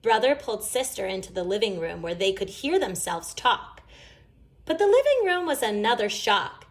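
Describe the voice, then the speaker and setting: A woman reading a children's story aloud in an expressive, emphatic storytelling manner. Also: medium pitch, moderate pace. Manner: animated, emphatic. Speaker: storybook reader.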